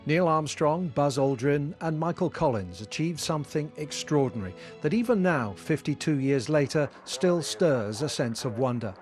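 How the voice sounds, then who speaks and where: A man's voice: on the high side, animated, normal speed, few pauses, a little mellow, faint music behind. A man narrating a scripted news report as a correspondent. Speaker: science correspondent.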